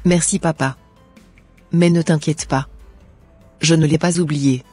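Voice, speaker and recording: A woman's voice: deep voice, fairly even intonation, a little slow, a little mellow, breathy edge, soft background music. A woman voicing a polite, earnest schoolgirl daughter in a cartoon, with a scripted delivery. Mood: obedient. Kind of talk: animated cartoon dialogue.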